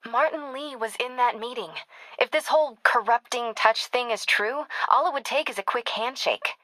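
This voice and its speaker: A daring young woman reporter with an American accent in a video game. Her voice is slightly high and very bright, casual and quick-witted, with warmth in the teasing. The recording is close and dry. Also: dry recording. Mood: earnest, focused. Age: young adult.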